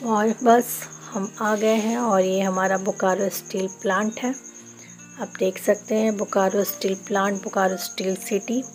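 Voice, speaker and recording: A chatty woman from Bokaro talks viewers through her train trip, recorded on a handheld phone. Her pitch sits in the middle and barely moves, giving an even, level delivery. Faint music plays underneath. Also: a little slow. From Bokaro, Jharkhand, India. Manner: chatty, explains each step to viewers. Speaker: travel vlogger. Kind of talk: casual travel vlog narration. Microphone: phone camera held while filming.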